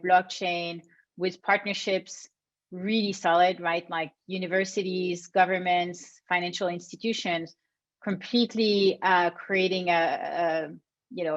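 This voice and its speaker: A woman from France, speaking as an investor on a panel, measured with soft fillers. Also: fairly low pitch. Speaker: venture investor and founder. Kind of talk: panel discussion remarks. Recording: dull, muffled audio.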